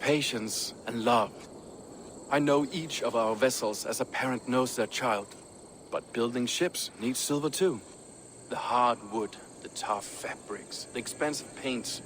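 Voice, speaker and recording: An actor playing a proud, ambitious but loyal Viking shipbuilder, speaking in a measured, earnest way for a video game. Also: male voice, mid-range voice, a bit bright, a little hiss or hum, lightly acted. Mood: fond, measured. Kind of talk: dramatic video game cutscene dialogue.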